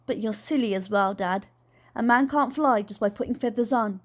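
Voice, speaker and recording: A woman voicing a gentle, sensible young daughter in a storybook, speaking softly and earnestly. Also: a little high, normal speed, natural voice. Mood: fond, doubtful. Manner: soft, earnest.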